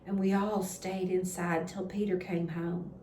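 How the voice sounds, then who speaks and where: A woman voicing a frightened farm wife telling of a scare in a storybook, emphatic and halting. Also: fairly low pitch, flat delivery, darkish tone. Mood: firm. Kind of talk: children's storybook read-aloud. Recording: echoey room with background noise.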